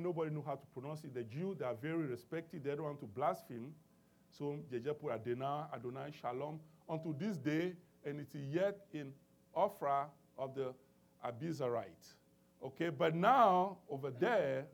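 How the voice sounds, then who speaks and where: A man preaching with a husky, fairly high voice that swings widely in pitch. He presses his points emphatically and keeps asking for amens. He restarts his phrases often as he works through scripture. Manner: emphatic call-and-response preaching with many restarts. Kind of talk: sermon.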